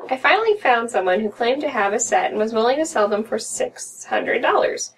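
Higher-pitched young American woman reading aloud. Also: expressive, a little fast. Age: young adult. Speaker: podcast co-host reading horror stories. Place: small room at home, indoors. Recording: distant mic with room noise.

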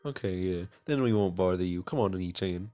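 Man reading as a brisk, bossy anime schoolgirl. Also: sits low, mellow, close to an everyday voice.

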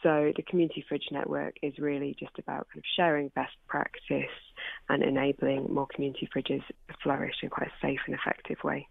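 A British woman explaining as an interviewee on radio, measured and hedging in her manner, over a phone-like line. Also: low voice, level tone, gravelly, husky, no room sound. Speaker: spokesperson for an environmental charity, interviewee.

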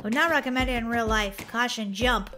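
An excitable, giggly woman hosting a gaming video with playful commentary and lots of exclamations. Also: on the high side, brisk. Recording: music under the voice. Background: music.

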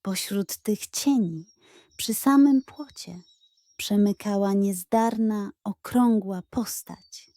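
A woman narrating a scripted bedtime story for children in a gentle, expressive way. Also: medium pitch, slow, no echo, clean studio sound.

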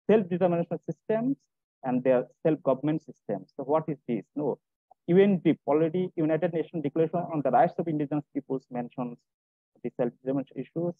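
Halting man on a tinny call. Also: fairly high pitch, no room sound. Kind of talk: panel presentation in non-native English. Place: indoor room, on a video call. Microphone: wired earphones on a video call. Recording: tinny, phone-like video-call audio.

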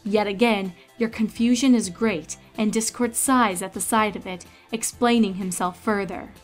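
A woman's voice: mid-range voice, steady tone, normal speed. Woman narrating a story over faint music. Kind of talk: dramatic second-person story narration.

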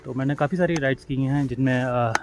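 A middle-aged man answering interview questions outdoors, speaking hesitantly with many pauses. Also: mid-range voice, a little mellow. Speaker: solo motorbike rider, guest. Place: outdoors on a hillside. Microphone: vlogger's phone camera nearby.

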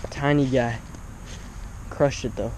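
A young man commenting live on his fishing outdoors by a pond, breaking into excited exclamations with every catch. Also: mid-range voice, slow, a little hiss or hum, low-quality recording. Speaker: fishing vlogger.

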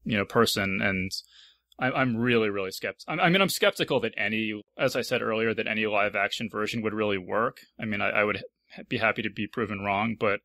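A man hosting a casual panel discussion, speaking thoughtfully and haltingly as he repeats words. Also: lowish voice, vocal fry, sharp tone, dry recording, studio quality. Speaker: podcast host.

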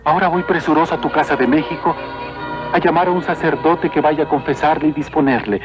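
An actor playing a humble, devout peasant in a cartoon, earnest and deferential. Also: male voice, on the high side, steady tone, fairly quick, music in the background, natural voice. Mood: reverent. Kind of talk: dubbed cartoon acting. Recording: muffled old cartoon soundtrack.

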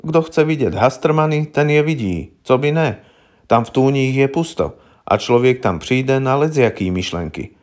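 Man voicing a shrewd old village herb woman, higher-pitched. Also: animated, darkish tone, natural voice. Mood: knowing, matter-of-fact. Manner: rustic colloquial Czech, blunt and knowing. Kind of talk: audiobook character voice.

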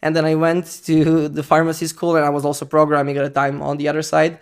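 A young man with a Serbian accent talks quickly and clearly into a close studio mic, his voice a touch high for a man. He chats casually as a podcast guest, stacking fillers and restarts. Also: monotone. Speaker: interview guest. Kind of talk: casual conversation. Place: indoor studio on a sofa. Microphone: handheld dynamic mic on a boom arm.

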